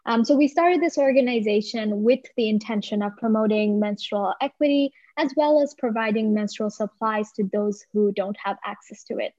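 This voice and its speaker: A woman's voice: medium pitch, normal speed, few pauses, a little mellow, no room sound, lo-fi sound. A woman in her twenties from Bangladesh, introducing herself on a video call with many hesitant "um"s. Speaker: nonprofit founder.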